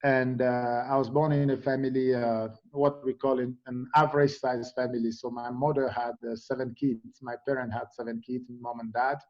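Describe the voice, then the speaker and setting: Halting man from Cameroon, lo-fi. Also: medium pitch, little pitch movement. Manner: halting, many fillers and restarts. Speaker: interview guest. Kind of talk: conversational interview.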